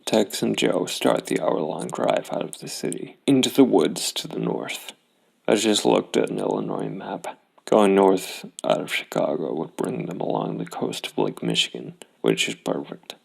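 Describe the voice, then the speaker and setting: A man's voice: low-pitched, very animated, normal speed, creaky, slightly rough, poor audio. A man narrating a solo tabletop role-playing game, thinking aloud and reasoning things out. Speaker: solo RPG player and host.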